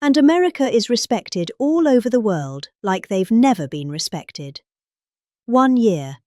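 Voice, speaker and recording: Middle-aged British female reader for a political speech, highly animated pitch, dry close sound. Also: measured pace, dry recording. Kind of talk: dramatic reading of a political speech.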